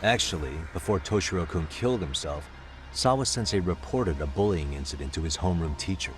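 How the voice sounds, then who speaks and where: An actor playing a calm, persuasive detective in an English game dub, measured and politely insistent. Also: male voice, low voice, a little hiss or hum. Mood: grave, insistent. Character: calm, persuasive male detective pressing for information. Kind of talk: dramatic game cutscene dialogue.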